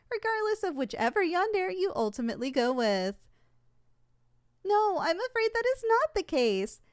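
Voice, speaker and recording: A woman's voice: sits high, dry recording, clean studio sound. A woman playing a polite, unflappable shop tour saleswoman in a scripted ASMR roleplay, formal and matter-of-fact. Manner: formal, courteous, matter-of-fact about danger. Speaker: voice actor in audio roleplay.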